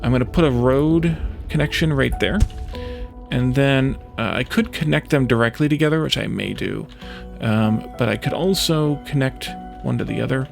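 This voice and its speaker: A man's voice: medium pitch, moderate pace, creaky, soft background music. A man giving casual let's play commentary, thinking aloud in a hesitant, self-questioning way.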